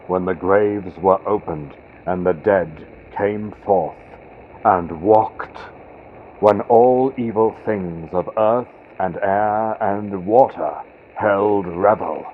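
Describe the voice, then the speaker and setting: Tinny vintage-radio male horror narrator, slow. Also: low voice. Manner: theatrical, deliberate, swinging from hushed to loud. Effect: vintage radio sound. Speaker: audiobook narrator. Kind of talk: dramatic scripted horror story narration. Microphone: built-in computer microphone. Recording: tinny phone-like built-in computer mic, noisy. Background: faint storm-like ambient sound effects.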